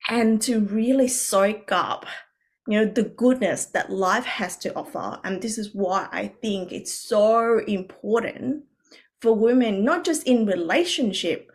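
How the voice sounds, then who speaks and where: A woman's voice: mid-range voice, measured pace. A woman from Vietnam, guest on a podcast as a coach, talking in an earnest, reflective way with many fillers and restarts. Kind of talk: podcast interview.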